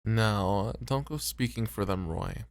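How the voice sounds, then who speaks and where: A man's voice: lowish voice, expressive, takes it slowly, dry recording. Man playing a self-doubting club host, close and dry. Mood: weary. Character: self-doubting, weary male club host on his break. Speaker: voice actor in an ASMR roleplay. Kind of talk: ASMR roleplay acting.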